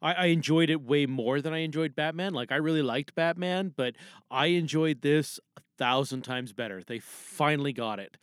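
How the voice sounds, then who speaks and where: A man's voice: mid-range voice, a bit bright, clean tone, no echo, clean studio sound. A man podcasting solo in a casual, rambling, self-deprecating way. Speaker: podcast host.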